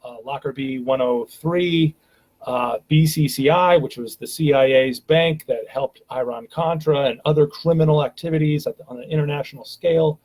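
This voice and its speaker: Chatty man with a husky voice. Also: a little high, steady tone, moderate pace, a little mellow, low-quality recording. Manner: chatty, rambling, many fillers and restarts. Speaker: host of a live stream. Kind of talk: casual live-stream talk.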